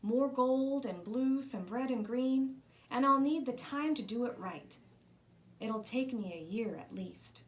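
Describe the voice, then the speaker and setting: Storybook grandma, played by a woman: elderly, folksy, fond, proud, lively intonation. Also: fairly high pitch, a little slow. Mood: earnest. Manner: folksy dialect with ain't and gonna. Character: wise, patient old grandmother, fond and proud. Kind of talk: children's storybook read-aloud.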